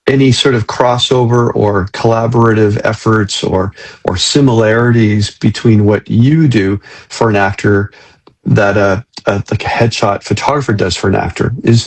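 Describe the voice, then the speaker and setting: A man hosting a conversational interview, phrasing long questions in a halting, tentative way. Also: medium pitch, low-quality recording. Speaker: interviewer, host.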